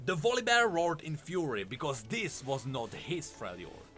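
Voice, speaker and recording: A male narrator delivers scripted fantasy lore in storytelling fashion. His voice sits a little high and moves in a very animated way, with light music behind it. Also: normal speed. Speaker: narrator of a game lore video. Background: background music under the voice.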